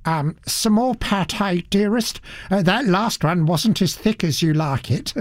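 A man's voice: high voice, measured pace, dry recording, clean studio sound, voice pushed up. A man voicing a cheerful, fussy middle-aged husband who dotes on his wife. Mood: doting.

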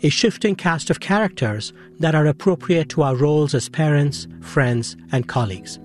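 A man hosting a radio show, asking questions and narrating scripted passages in a calm, polished manner. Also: a little high, soft background music. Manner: calm, measured, polished delivery. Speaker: radio host.

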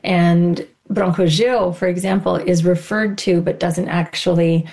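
A woman translator speaks in a measured, reflective way. Her voice is a little low and mellow. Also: steady tone, gravelly. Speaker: literary translator. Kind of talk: interview answers.

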